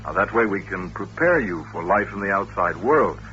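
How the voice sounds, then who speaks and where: A patient, courteous doctor in an old radio play. He explains in a measured, polite way with a crisp, forward brightness. The sound is dull vintage radio with slight hiss. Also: deep voice, animated. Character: courteous, patient male doctor running a mutant institution. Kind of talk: old-time radio drama acting.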